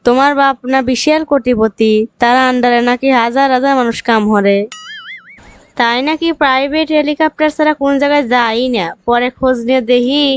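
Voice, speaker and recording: Woman voicing a high-pitched, scornful cartoon ex-girlfriend. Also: fairly even intonation, brisk, clear, resonant tone, no room sound, soft background music, lightly acted. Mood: bitter accusing. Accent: rural Bangladeshi. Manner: scolding, sarcastic, accusatory. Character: scornful young female job interviewer, bitter ex-girlfriend. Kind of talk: cartoon comedy dialogue.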